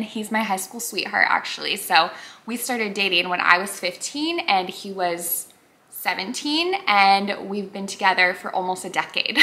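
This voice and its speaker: A woman's voice: medium pitch, bright, forceful tone. A woman in her twenties chatting casually to camera in a get-to-know-me vlog, bubbly and full of filler words. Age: 20s. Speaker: YouTuber.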